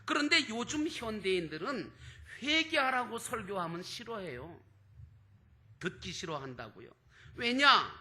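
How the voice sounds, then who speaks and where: Male preacher, extremely high and forceful voice, declamatory, rhetorical sermon style. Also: big pitch swings, a little slow. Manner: emphatic, declamatory, stretches key words, rhetorical questions. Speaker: pastor preaching to a congregation.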